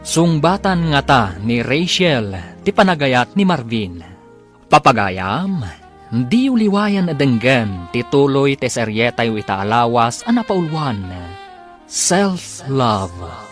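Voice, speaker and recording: A man's voice: medium pitch, sing-song, slow, faint music behind. A man announcing a radio drama in a formal, emphatic scripted style.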